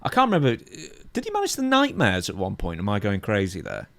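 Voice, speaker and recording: A man co-hosting a podcast in casual discussion, measured and dryly understated as he runs through details. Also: lowish voice, huge pitch swings, a bit bright, no room sound, clean studio sound. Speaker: podcast co-host.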